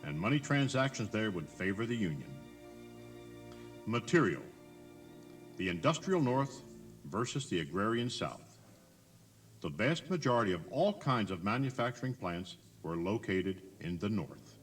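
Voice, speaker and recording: A man's voice: fairly low pitch, very animated, a little slow, pauses often, soft background music. An American man narrating a history tour in a conversational, emphatic way, putting rhetorical questions straight to the listener. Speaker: audio tour guide narrator. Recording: 1983 cassette tour tape.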